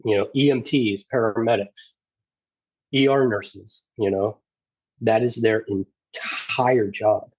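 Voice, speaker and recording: A man talking as a podcast guest in casual conversation, earnest and halting, with frequent restarts. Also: lowish voice, fairly even intonation, darkish tone, breathy edge, no echo, lo-fi sound. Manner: earnest, halting, many restarts and "you know"s. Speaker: podcast guest, former federal officer and navy corpsman.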